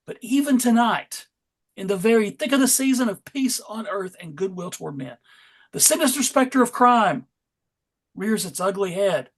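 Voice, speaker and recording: A man's voice: high voice, expressive, moderate pace. Male host-narrator for a comic table read: theatrical storytelling, wry asides, tongue in cheek.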